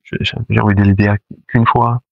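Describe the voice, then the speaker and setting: A low-voiced man with a gravelly, creaky edge, speaking French as his second language. As a choir director in a relaxed interview, he talks hesitantly with lots of fillers and restarts. The sound is close and dry. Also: moderate pace, no room sound, dull audio. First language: not French; French is his second language. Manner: hesitant, many fillers and restarts, laughs often. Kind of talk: casual interview conversation.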